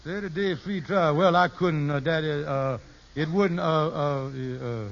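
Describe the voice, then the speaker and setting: A man's voice: fairly high pitch, a little slow. An actor playing a fretful, blustery schemer in an old radio sitcom, stammering as he plots in folksy grammar. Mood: anxious scheming. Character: scheming, fretful male lodge bigshot in trouble. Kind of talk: 1950s radio sitcom acting. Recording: muffled, noisy old radio recording.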